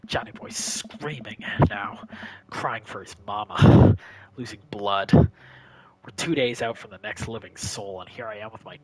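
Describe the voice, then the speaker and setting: A man playing a grizzled, whiskey-drinking army veteran telling a grim wilderness tale in a gruff, profane, dramatic monologue with a low, breathy growl. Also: measured pace, a little mellow, poor audio. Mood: grim, haunted. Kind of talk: dramatic first-person monologue.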